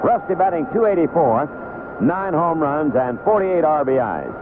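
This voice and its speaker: A man announcing baseball play-by-play on the radio in a conversational way, with clipped phrases between pauses. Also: fairly high pitch, very animated. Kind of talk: live play-by-play commentary. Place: broadcast booth at a baseball stadium. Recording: noisy lo-fi 1970s AM radio broadcast.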